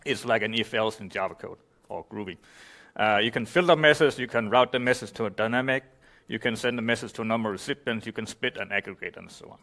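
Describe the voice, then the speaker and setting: A man from Denmark, giving a relaxed technical conference talk and explaining with many "you know" fillers. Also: lowish voice, quick pace. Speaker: conference speaker. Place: conference room.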